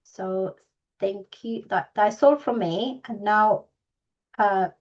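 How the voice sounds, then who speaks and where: A woman's voice: mid-range voice, slow. A woman hosting a webinar and going over resources, hesitant with many ums and uhs. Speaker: webinar host. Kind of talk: webinar housekeeping. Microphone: video call. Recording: dull lo-fi video call audio.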